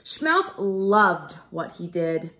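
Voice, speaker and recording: A woman teacher reading aloud to young children in an expressive storytelling style, explaining things simply. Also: lowish voice, very slow.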